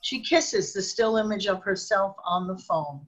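Husky woman narrating a radio drama. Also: mid-range voice, a little slow, muffled recording, soft background music.

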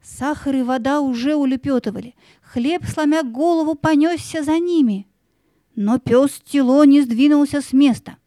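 Mellow, high-voiced woman narrating a fairy tale. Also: moderate pace, no echo. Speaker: narrator of an audio play.

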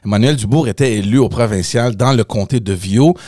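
A man's voice: on the low side, fairly quick, mellow, dry recording, studio quality. A man with a Quebec French accent co-hosting in casual chat, emphatic and fond of repeating his phrases. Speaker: podcast co-host.

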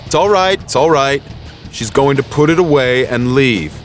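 Actor as commanding, cocky brawler, swooping delivery. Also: male voice, mid-range voice, lively intonation, normal speed, lightly acted. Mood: urgent. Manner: terse, commanding. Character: commanding, cocky male werewolf brawler in his prime. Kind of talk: full-cast audio drama dialogue. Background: music.

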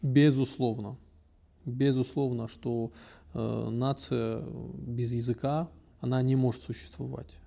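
A man from North Ossetia speaks as a guest on a podcast, with a gravelly, mellow voice. He talks in a reflective way and often hesitates with drawn-out fillers while he searches for words. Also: mid-range voice, level tone. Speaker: podcast guest, blogger and activist. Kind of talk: casual discussion.

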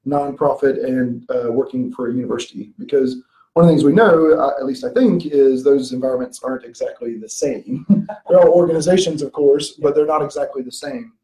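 A man's voice: mid-range voice, fairly quick, dark tone, rough recording. A man hosting a podcast interview, chatty and laughing easily, with hesitant ums. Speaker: podcast host. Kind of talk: casual interview.

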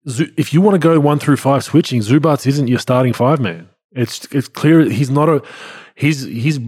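A man co-hosting a sports podcast, a former player, breaking down the game in a measured, analytical way. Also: mid-range voice, a little mellow, breathy edge.

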